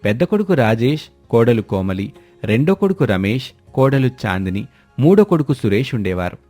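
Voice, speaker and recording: A man's voice: medium pitch, big pitch swings, normal speed, a little mellow, soft background music. A man reading scripted narration for a family moral story, in the manner of a storyteller.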